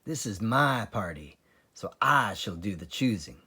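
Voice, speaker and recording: Guy doing bossy sly dad fox from a kids book, loves food, exclaims a lot, very animated. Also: lowish voice, a little slow, slightly rough, full character voice. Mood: commanding. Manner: exclamatory, relishing, commanding. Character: bossy, excitable father fox, cunning food-loving leader.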